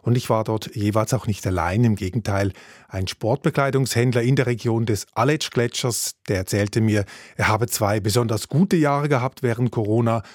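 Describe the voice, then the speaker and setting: A man reporting and explaining in a measured radio business feature. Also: on the low side, dry recording, studio quality.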